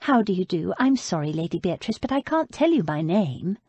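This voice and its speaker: A woman voicing a polite, anxious young woman of genteel manner, speaking softly and hesitantly. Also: on the low side, very animated, fairly quick, breathy edge, no echo, heavily acted. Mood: polite, uneasy.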